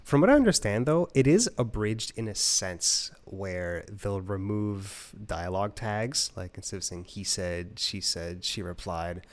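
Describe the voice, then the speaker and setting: A man's voice: on the low side, lively intonation, measured pace, hardly any pauses. A man in a casual review chat, explaining things in an informal, conversational way as a co-host. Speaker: audiobook review show co-host.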